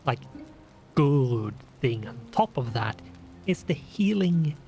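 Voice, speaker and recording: Slow, sniffly man explaining his game. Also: medium pitch, animated, a little mellow, soft background music. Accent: Scandinavian. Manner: slow, deliberate, with restarts and fillers. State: sniffly from a runny nose. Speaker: gaming channel host. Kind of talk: casual let's play commentary.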